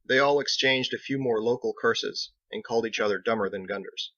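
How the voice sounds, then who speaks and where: A man's voice: mid-range voice, brisk, a bit bright, no echo, dull audio. A man reading scripted audiobook narration as the author of the story. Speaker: author narrating his own book.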